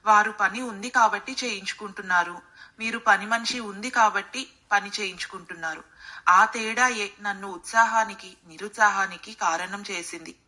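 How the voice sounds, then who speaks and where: Woman playing a loyal, clear-toned, earnest housemaid. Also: mid-range voice, moderate pace, pushed and bright, rough recording. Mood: calm, frank. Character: loyal, dignified housemaid, humble but frank and firm. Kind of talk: acted dialogue in a moral bedtime story.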